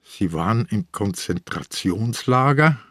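Husky, ailing old inspector. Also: male voice, on the low side, expressive, measured pace, a bit bright, no echo, studio quality. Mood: aghast. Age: older. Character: ailing old police inspector, distraught but stubbornly idealistic. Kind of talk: radio drama dialogue.

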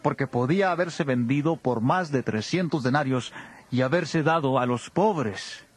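A man's voice: medium pitch, a little slow, husky. A man playing an indignant, reproachful onlooker in a dramatized scripture recording. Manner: protesting, reproachful. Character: indignant male onlooker grumbling at waste. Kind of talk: dramatized scripture audio acting.

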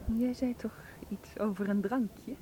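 An actress playing an anxious, self-reproaching female tour guide in a radio drama, hushed and emotional. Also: a little high, steady tone, unhurried, a little hiss or hum, natural voice. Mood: subdued.

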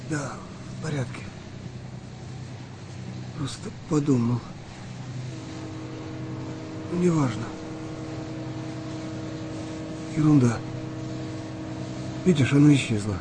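An actor playing a seasoned, philosophical British sailor in a Second World War audio play, talking in a colloquial, reflective way. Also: male voice, medium pitch, takes it slowly, pauses often, background noise, close to an everyday voice. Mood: shaken, evasive. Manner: colloquial, rough-spoken, reflective.

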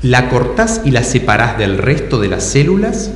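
A man's voice: mid-range voice, big pitch swings, moderate pace, slight background noise. A man with an Argentine accent hosting an improv show, questioning an audience member in a teasing way. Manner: teasing, echoes answers back. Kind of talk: audience interview. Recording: echoey hall with live audience.

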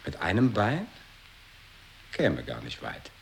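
A gravelly, stubborn one-legged man. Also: low-pitched, huge pitch swings, takes it slowly, slight background noise, exaggerated voice. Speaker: actor in a radio play. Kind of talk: experimental radio play acting.

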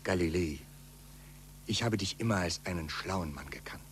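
An actor playing a cautious, anxious middle-aged skeptic, speaking earnestly and urgently in a 1940s German radio play. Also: male voice, low voice, lively intonation, moderate pace. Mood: grave, pessimistic. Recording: noisy, humming 1940s radio recording.

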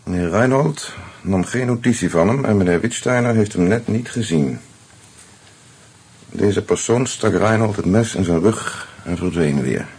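An actor playing a methodical, polite but dry police commissioner in a radio play, speaking with measured, formal authority. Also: male voice, lowish voice, animated, darkish tone, husky, heavily acted. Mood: measured, probing. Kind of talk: Dutch radio drama acting.

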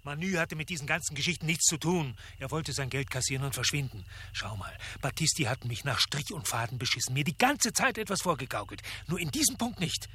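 Husky, weary hard-boiled investigator. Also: male voice, fairly high pitch, big pitch swings, fairly quick, few pauses, sharp tone, exaggerated voice. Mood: tense, hushed. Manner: terse, laconic. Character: weary, hard-boiled male investigator telling his story. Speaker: first-person narrator and lead actor. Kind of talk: German radio drama narration and dialogue.

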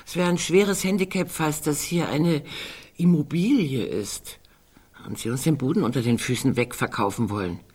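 Older woman, husky voice, wry, musing, fussy eccentric, literary radio play. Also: low voice, moderate pace, a little mellow. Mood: musing, fretful. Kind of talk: literary German radio drama acting.

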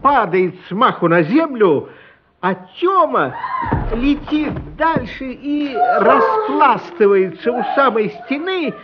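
A man's voice: very high-pitched, big pitch swings, takes it slowly, lo-fi sound, heavy background noise. A man narrating a story for a radio play in a dramatic, scripted style.

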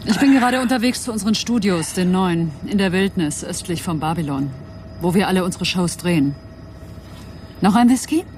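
An actress playing a charming, sly young production assistant who flatters and coaxes in a radio drama. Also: female voice, fairly low pitch, normal speed, slight background noise, natural voice. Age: young adult. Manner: flattering, coaxing, smooth-talking.

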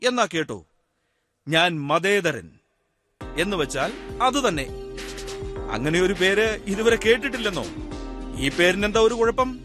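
A man's voice: high-pitched, animated, unhurried, pauses often, pushed and bright, soft background music, exaggerated voice. An actor playing a wry, secular man risen from death, chatting and teasing unseen listeners in a radio drama monologue. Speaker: monologue performer.

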